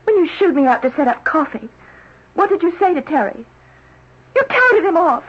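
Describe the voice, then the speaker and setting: A woman's voice: very high voice, very animated, moderate pace. An actress playing a proud, wounded young woman in an old-time radio drama. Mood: curt, bitter. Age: young adult. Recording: dull, noisy old radio recording.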